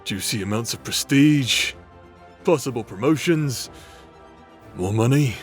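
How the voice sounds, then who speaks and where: A man's voice: mid-range voice, expressive, unhurried, close to an everyday voice. A man playing a swaggering, foul-mouthed demonic ex-paladin in an audio roleplay drama, taunting and vengeful. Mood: dry, smug. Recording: music under the voice.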